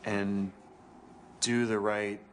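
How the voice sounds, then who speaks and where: A man speaking slowly and deliberately in an interview, pausing between words. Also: lowish voice, little pitch movement, a little hiss or hum.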